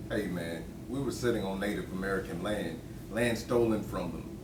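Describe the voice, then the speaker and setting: A man's voice: low voice, moderate pace, close to an everyday voice. A man at a table read playing an outspoken man debating history in a conversational, emphatic way. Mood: indignant. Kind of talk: script table read of docudrama dialogue. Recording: roomy, distant mic, noisy background.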